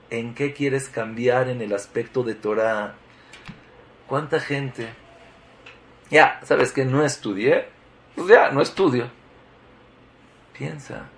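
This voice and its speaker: A man's voice: medium pitch, slight background noise. Guy giving a torah talk, keeps repeating stuff, asks the crowd questions. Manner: emphatic, repetitive preaching with rhetorical questions. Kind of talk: sermon.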